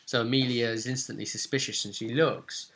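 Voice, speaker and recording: A man's voice: medium pitch, fairly even intonation, fairly quick, a bit bright. A man casually recapping a tabletop role-play session as game master, rambling along and often seeking agreement with tag questions.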